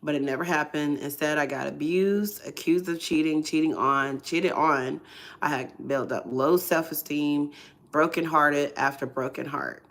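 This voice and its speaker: Hoarse, congested woman, low-voiced, soft and hesitant, filler-heavy testimony host. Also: unhurried. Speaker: ministry livestream host. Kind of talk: casual testimony livestream.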